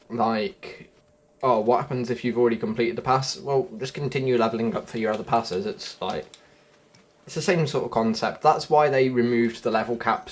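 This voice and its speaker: A man giving casual gameplay commentary as a video host, informal and a little stumbling in how he talks. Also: mid-range voice.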